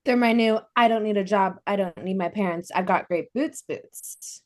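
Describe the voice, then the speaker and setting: A woman's voice: mid-range voice, moderate pace, a little mellow, lightly acted. A woman reading the part of a spoiled, sheltered young woman in a sitcom script, earnest and a little whiny. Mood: defensive. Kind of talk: sitcom script table read.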